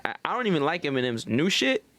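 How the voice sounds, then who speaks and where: A young American man in animated, slangy, sweary casual banter as a podcast co-host. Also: fairly high pitch, quick pace, no room sound.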